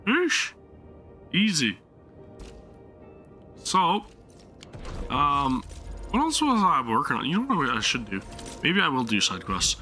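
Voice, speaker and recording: Male streamer, losing his voice from a sore throat, rambling and apologetic, very sharp tense tone, faint game music behind. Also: a little high, big pitch swings, slow, pauses often. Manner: apologetic, rambling, many restarts. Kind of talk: casual live game stream commentary.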